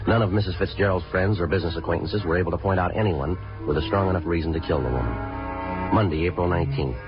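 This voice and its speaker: An actor playing a terse, matter-of-fact American homicide detective who narrates a 1950s radio crime drama in a clipped, deadpan way. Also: male voice, sits low, fast talker, close to an everyday voice. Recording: dull vintage radio recording with music.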